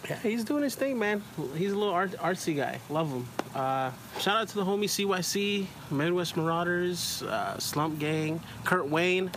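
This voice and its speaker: A man's voice: sits high, lively intonation, normal speed, hardly any pauses, background noise. A man with a Chicago accent in casual, slangy podcast chat, joking, swearing and rambling as a guest. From Chicago, USA.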